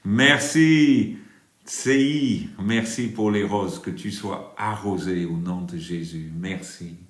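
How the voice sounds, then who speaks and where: A preacher from England with a British accent, leading a worship livestream. He sings drawn-out hymns and breaks into brisk, chatty replies to viewers. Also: on the low side, echoey room. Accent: British English.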